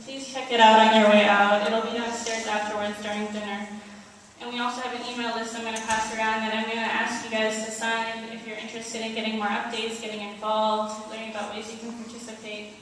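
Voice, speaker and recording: Female activist speaker, emphatic but flat in intonation, distant mic, heavy hall reverb, prepared remarks. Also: fairly high pitch, a little fast. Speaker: activist committee member giving closing remarks. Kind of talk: prepared community speech, appeal to get involved. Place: large indoor hall at an Islamic center. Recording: echoey hall, distant mic, faint noise.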